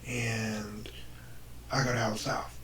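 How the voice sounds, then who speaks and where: A young American man with a deep, damaged voice that drops into breathiness, telling a personal story casually and hesitantly to the camera. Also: little pitch movement, slow, fairly bright tone. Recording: noisy, distant microphone.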